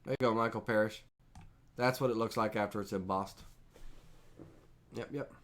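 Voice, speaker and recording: A man with a head cold, his voice stuffy and nasal, leads a live software class. He rambles in a loose, casual way and chats with viewers by name. His voice sits a little low. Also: fairly even intonation, normal speed. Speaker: host and instructor. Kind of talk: live-streamed software tutorial.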